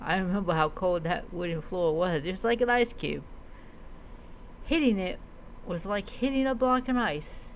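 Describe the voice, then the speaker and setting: Slow-spoken woman storyteller over noise. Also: lowish voice, low-quality recording. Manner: halting reading with reminiscing asides. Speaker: roleplay streamer. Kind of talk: in-character storytelling, reading a story aloud.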